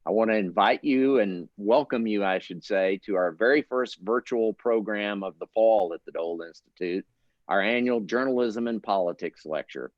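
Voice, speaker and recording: A middle-aged man moderating a virtual panel discussion, polite and measured, with small restarts. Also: on the low side, no echo, muffled recording. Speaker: host, institute director.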